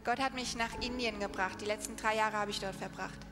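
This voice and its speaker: A woman interpreting live on stage, speaking in quick restarting bursts. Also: mid-range voice, fairly even intonation. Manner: halting, restarts mid-sentence. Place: large hall. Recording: echoey hall with music behind.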